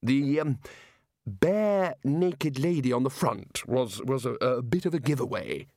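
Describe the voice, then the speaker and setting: A man's voice: mid-range voice, lively intonation, measured pace, a little mellow, no room sound, clean studio sound. A man voicing a bluff, blunt older male head wizard who speaks matter-of-factly.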